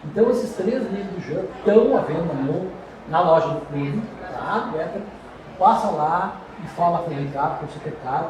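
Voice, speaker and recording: A man chatting casually during a live stream, briskly promoting books and merchandise in the club shop. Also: fairly high pitch, expressive, distant mic, a crowd in the background. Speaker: car club member. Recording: very echoey room with clear ambience.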